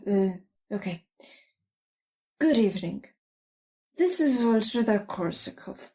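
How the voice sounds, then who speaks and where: A woman acting as an unqualified, jaded news anchor in an audio drama. She drags her words very slowly at a middle pitch, muttering offhand, blunt asides. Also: darkish tone.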